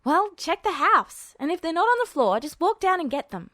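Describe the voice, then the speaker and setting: Woman voicing an irritable, high-pitched teenage boy. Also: very animated, normal speed, pushed and bright, dry recording, clean studio sound, heavily acted. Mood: annoyed, impatient. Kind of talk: character dialogue in fan fiction reading.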